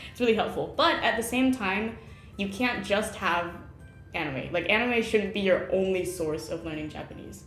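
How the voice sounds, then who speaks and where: A woman's voice: mid-range voice, moderate pace, fairly bright tone, echoey room, soft background music. A woman chatting casually in an interview about how she learned a language, laughing often and using lots of fillers.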